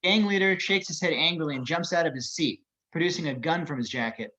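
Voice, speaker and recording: A man's voice: a little high, fast talker, pushed and bright, poor audio. A man reading screenplay action descriptions as narrator at an amateur table read.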